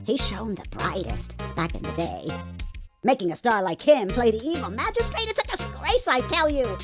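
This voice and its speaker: Woman acting elderly female guard, gossipy, huffy, folksy grammar, very lively intonation, game dialogue over music. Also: a little high, moderate pace. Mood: wistful, indignant. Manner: folksy, gossipy, ungrammatical turns of phrase. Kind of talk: scripted game character voice-over. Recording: background music throughout.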